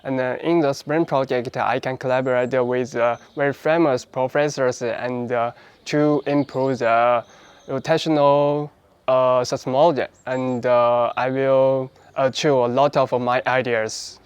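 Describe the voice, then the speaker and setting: A man's voice: mid-range voice, fairly even intonation, measured pace, smooth, no echo. A man, a PhD student introducing himself carefully, with frequent fillers. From China. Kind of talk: short self-introduction to camera.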